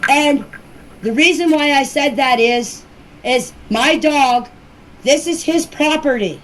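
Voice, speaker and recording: A woman with a high-pitched voice gives her own side of things in an informal voice clip. She is defensive and insistent, stressing her points firmly. There is faint background noise on the amateur recording. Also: slow. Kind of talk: personal account answering questions in a voice message.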